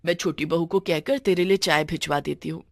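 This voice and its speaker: An elderly, gullible mother-in-law played by a woman storyteller speaks in a lowered voice. She fusses affectionately and soothes everyone around her, in the style of a cartoon family tale. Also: fairly even intonation, a little fast, creaky, a little hiss or hum. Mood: concerned, doting.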